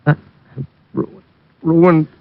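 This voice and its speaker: Gravelly, slow, defeated racketeer. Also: male voice, fairly high pitch, steady tone. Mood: despairing, defeated. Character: ruined, defeated male crooked laundry racketeer. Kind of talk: 1950s radio detective drama acting. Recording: dull old radio recording.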